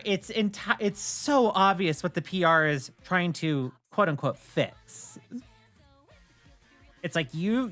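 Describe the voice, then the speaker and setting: A woman's voice: lowish voice, sharp tone. A woman chatting casually on a livestream, often stammering and repeating words as she explains things. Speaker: streamer and game server admin.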